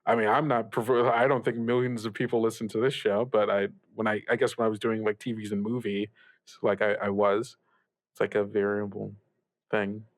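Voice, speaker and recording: A man playing a reassuring older radio mentor in an improvised audio drama, giving gentle advice in a halting, rambling way. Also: lowish voice, little pitch movement, dry recording, close to an everyday voice. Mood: halting reassurance. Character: reassuring older male radio mentor, upbeat, evasive about troubles. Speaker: student radio show host. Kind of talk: improvised actual-play audio drama, conversational.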